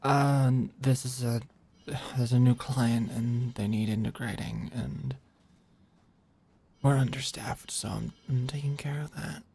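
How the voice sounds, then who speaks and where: A performer of unclear sex playing a flirty, overworked office manager in an intimate ASMR roleplay, with a halting, teasing murmur. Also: medium pitch, steady tone, vocal fry, mellow, slightly rough. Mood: flustered, defensive.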